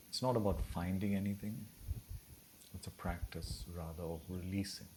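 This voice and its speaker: A man leading a guided meditation in a gentle, soothing, unhurried way with long pauses between phrases. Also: sits low, noisy background.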